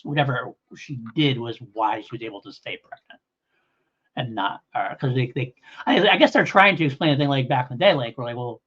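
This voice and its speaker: Male review co-host: conversational, meandering explainer, agreeable, average pitch, somewhat muffled recording. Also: a bit bright, husky. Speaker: podcast co-host. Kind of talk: casual audio drama review.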